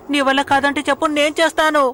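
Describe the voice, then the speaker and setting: A woman's voice: high voice, level tone, a little fast, pushed and bright, a little hiss or hum. A woman playing a cheeky, mocking youngster who likes to tease in a dubbed cartoon. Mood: teasing. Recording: music behind the voice.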